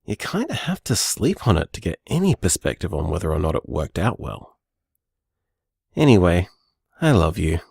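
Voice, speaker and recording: An actor playing a gentle young man who owns a bar and practices magic, leaving chatty, musing voice messages full of hedges and fillers. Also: low voice, big pitch swings, normal speed, creaky, husky, no room sound, studio quality, exaggerated voice. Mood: tender. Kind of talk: audio drama voice message.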